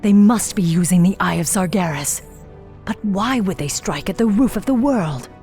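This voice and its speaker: An actress playing a stern, vengeful elven warrior commander in a fantasy game, speaking with cold, formal authority. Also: female voice, mid-range voice, lively intonation, normal speed, dark tone, soft background music, close to an everyday voice. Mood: urging. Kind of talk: dramatic game cutscene acting.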